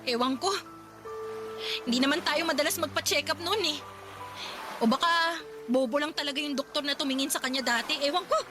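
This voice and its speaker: Woman acting a high-pitched, distraught young wife. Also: fairly quick, long pauses, close to an everyday voice. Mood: defensive, upset. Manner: exasperated, blunt, sharp-tongued. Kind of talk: Tagalog radio drama acting. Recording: music behind the voice.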